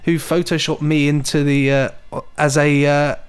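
A man's voice: a little high, little pitch movement, moderate pace, clear, resonant tone, faint music behind. A man co-hosting a podcast, chatting casually and jokily and reading out listener emails. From England. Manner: chatty, jokey. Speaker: podcast co-host.

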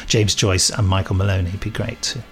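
A man's voice: low-pitched, little pitch movement, a little fast. A man hosting a podcast in a casual, chatty way, with jokey, self-deprecating asides and chuckles. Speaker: podcast host.

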